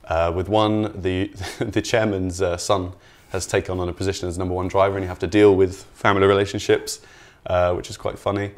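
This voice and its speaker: A man's voice: low voice, little pitch movement, a little fast. A man speaking as a game designer in an interview, explaining things casually and informally.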